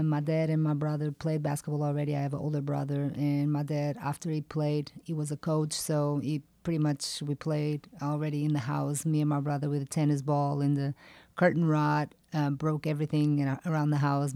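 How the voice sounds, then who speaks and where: A woman in her forties with a Portuguese accent, who answers questions in a flat, unhurried tone. Her voice is mellow and recorded dry, with plenty of ums along the way. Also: sits low, no room sound. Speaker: guest, retired basketball player. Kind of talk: interview answers.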